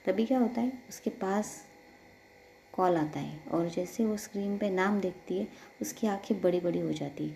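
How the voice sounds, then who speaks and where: A woman storyteller narrating a romantic audio story in a soft, intimate manner, often dropping to a near-whisper. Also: medium pitch, creaky, slight background noise, low-quality recording.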